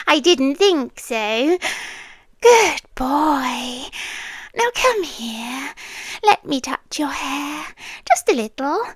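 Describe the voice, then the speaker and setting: A woman playing a possessive, devoted yandere girlfriend with a British accent, speaking softly and deliberately in an intimate ASMR roleplay, often slipping into whispers. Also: high-pitched, dry recording, exaggerated voice. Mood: quietly menacing, then doting, possessive. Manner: slow, deliberate, lingering pauses. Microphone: close microphone, dry sound.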